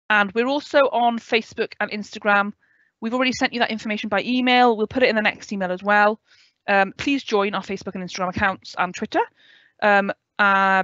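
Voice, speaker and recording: A woman's voice: medium pitch, fairly bright tone, dry recording. A woman in her thirties from West Wales with a Welsh accent, giving an online orientation talk and answering questions, often checking in with "okay?". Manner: clear, friendly explaining, checks in with "okay?". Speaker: student adviser. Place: home room with a window. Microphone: headset. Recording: dry, dull video call audio.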